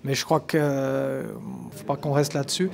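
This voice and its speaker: A man in a post-match press talk, speaking in a measured way with fillers. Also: medium pitch, flat delivery, creaky.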